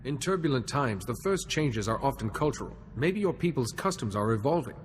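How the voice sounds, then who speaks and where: Game role, male actor: composed diplomatic Jedi, formal measured delivery, middle register, reverberant. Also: mid-range voice, big pitch swings, a little hiss or hum, lightly acted. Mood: calm, advising.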